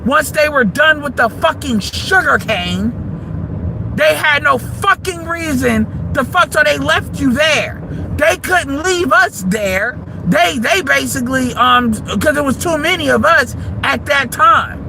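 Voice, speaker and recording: A man, delivering a heated, profane monologue that rises into shouts. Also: extremely high pitch, animated, unhurried, vocal fry, background noise. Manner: heated, combative, swearing freely, rising to shouts. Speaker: livestream commentator.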